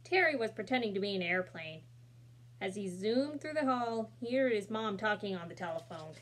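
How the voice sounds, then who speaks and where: A young woman reading a children's picture book aloud in a small room, expressive and adding casual asides, recorded on a laptop camera. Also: mid-range voice, normal speed, a little hiss or hum.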